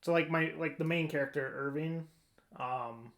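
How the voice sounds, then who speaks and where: A man's voice: fairly high pitch, moderate pace, fairly bright tone. A man co-hosting a podcast, chatting casually about video games in an informal, rambling way as he thinks aloud. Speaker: podcast co-host.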